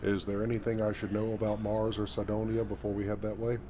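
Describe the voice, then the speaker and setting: A man voicing a courteous, earnest space adventurer, measured and articulate, over a video game visual novel. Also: on the low side, flat delivery, dark tone, a little hiss or hum, close to an everyday voice. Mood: inquisitive.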